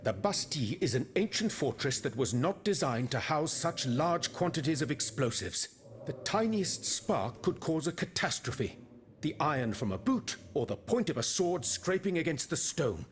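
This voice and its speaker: Stern, animated French Revolution scientist. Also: male voice, fairly high pitch, normal speed. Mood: reproachful, alarmed. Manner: formal, reproachful. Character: stern, cautious male citizen scientist of revolutionary France. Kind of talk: video game cutscene acting. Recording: faint background noise.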